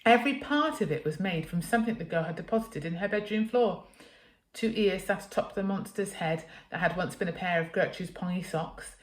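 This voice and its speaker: A woman's voice: fairly low pitch, fairly quick. A woman reading a children's story aloud as a teacher, with dramatic, emphatic expression. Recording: echoey room.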